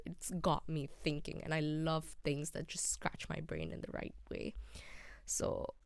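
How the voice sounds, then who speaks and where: A young woman hosting a relaxed solo podcast, rambling casually with frequent ums; English is not her first language. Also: low voice, creaky, dry recording, studio quality. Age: young adult. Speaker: podcast host.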